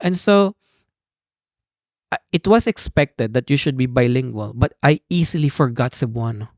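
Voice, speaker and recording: A young Filipino man, a PhD student, speaking as a podcast guest with a Filipino accent and an animated storytelling manner. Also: medium pitch, moderate pace, no room sound, studio quality. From Philippines. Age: young adult. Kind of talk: conversational interview.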